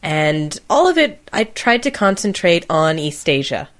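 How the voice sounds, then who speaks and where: A woman talks as a podcast host in a relaxed, informal chat style. Her pitch is a little lower than usual, and she has a playful way of teasing as she speaks. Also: measured pace.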